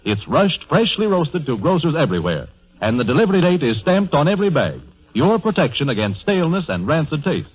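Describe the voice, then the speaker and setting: A man's voice: on the high side, sing-song, brisk, slightly rough, low-quality recording. An American man announcing a scripted 1930s radio advertisement in an emphatic, salesmanlike way. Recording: vintage 1930s radio broadcast.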